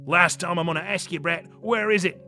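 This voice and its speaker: A man's voice: high-pitched, big pitch swings, a little fast, gravelly, pushed and bright, faint music behind, pitched above the performer's own voice, heavily acted. A man voicing a rude, threatening soldier in a mock British accent that drifts into Australian, in a dramatic storybook reading. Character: rude, menacing grown male soldier, gruffly threatening. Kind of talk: dramatic amateur book reading.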